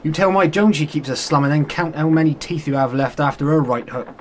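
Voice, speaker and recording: A man's voice: a little high, fairly quick, slight background noise, natural voice. An actor as a hot-headed, grumbling Cornish fisherman. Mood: defiant, angry. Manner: blunt, mocking, grumbling. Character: hot-headed, sardonic working-class Cornish fisherman, resentful. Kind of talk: radio play acting.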